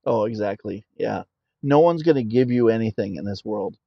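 A man's voice: lowish voice, expressive, normal speed, creaky, no room sound, muffled recording. A middle-aged man, an army veteran guest on a podcast, talking in a plainspoken way and telling stories. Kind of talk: conversational interview.

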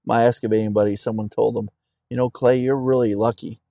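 A man's voice: medium pitch, little pitch movement, brisk, vocal fry, no echo, dull audio. A middle-aged man, an army veteran guest on a podcast, talking in a plainspoken way and telling stories. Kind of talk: conversational interview.

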